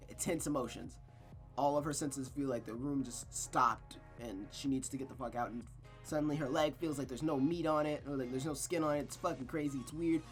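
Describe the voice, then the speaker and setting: A man streaming a visual novel, moving between narrated reading and casual, slangy, emphatic talk. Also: medium pitch. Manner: slangy, exclamatory, emphatic repetition. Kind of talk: narrated reading and casual, profane recap chat.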